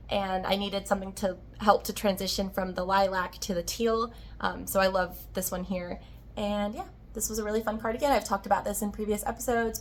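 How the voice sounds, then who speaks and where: A woman with a slightly hoarse, tired voice hosting a casual, chatty crafting video in a friendly way. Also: mid-range voice, slight background noise. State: slightly hoarse, tired-sounding from recovering from illness. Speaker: podcast host, yarn dyer and shop owner.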